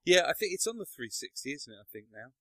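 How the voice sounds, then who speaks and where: A man's voice: fairly high pitch, very animated, fast, sharp tone, no echo. Hesitant man hosting a chat. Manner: hesitant, lots of ums and restarts. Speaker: podcast host. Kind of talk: casual chat.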